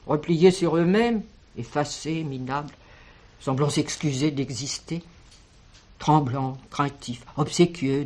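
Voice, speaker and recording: An actress playing an older village schoolteacher in a French radio drama, kindly and protective, speaking in a measured, expressive way. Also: female voice, sits low. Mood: earnest, reflective.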